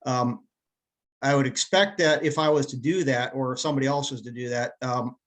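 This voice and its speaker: Male club member with an average-pitched voice, informal, conversational questioning. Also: steady tone, brisk. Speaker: club meeting attendee asking a question. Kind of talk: casual question from a meeting participant.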